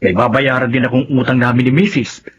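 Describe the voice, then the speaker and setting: A man's voice: medium pitch, steady tone, a little fast, slightly rough, dull audio, close to an everyday voice. A man playing a broke, henpecked workmate with a Filipino accent in a radio drama. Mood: complaining. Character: broke, henpecked, grateful drinking buddy and workmate.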